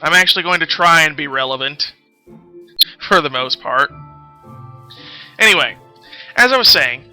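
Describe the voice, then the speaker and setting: High, very bright-voiced man rambling on stream. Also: smooth, low-quality recording. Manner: rambling, absent-minded, often losing his thread. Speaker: game streamer. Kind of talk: casual live-stream storytelling while gaming. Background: background music.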